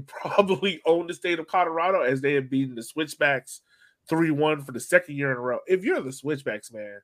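A man hosting a sports podcast, chatting casually and putting questions to his co-hosts in a conversational way. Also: medium pitch. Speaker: podcast host. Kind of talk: casual soccer podcast chat.

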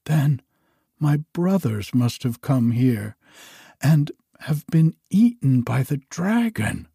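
A man's voice: medium pitch, very animated, slow, vocal fry, mellow, husky, no echo, clean studio sound, pitched above the performer's own voice, exaggerated voice. Man playing an earnest young prince.

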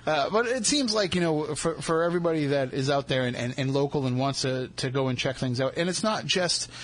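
A man's voice: fairly high pitch, animated, a little fast. A man hosting a radio talk show, chatting with his guest and joking. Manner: joking, conversational. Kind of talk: casual radio interview chat.

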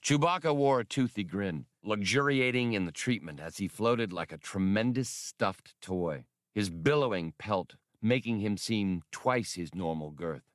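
A man's voice: on the low side, lively intonation, measured pace, hardly any pauses, no echo, studio quality. A man reading a scripted story as an audiobook narrator.

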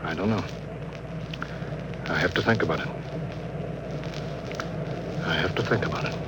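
An actor playing a suspicious, commanding spaceship captain in 1950s radio drama style, clipped and insistent. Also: male voice, sits low, moderate pace, natural voice. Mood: grim brooding. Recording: noisy old radio drama recording.

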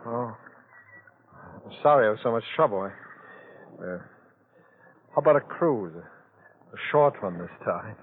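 Halting, evasive radio drama husband. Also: male voice, fairly low pitch, animated. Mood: sheepish, groggy. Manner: halting, stammering. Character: evasive, scheming, uneasy bridegroom in crime drama. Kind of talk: 1940s-50s radio drama acting. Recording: phone-like vintage radio, faint noise.

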